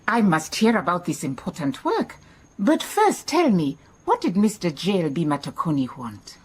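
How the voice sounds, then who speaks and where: An actress playing a wise, composed lady detective in a radio drama, with a measured, inquisitive manner. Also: fairly low pitch, sing-song, darkish tone, slightly rough. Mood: curious.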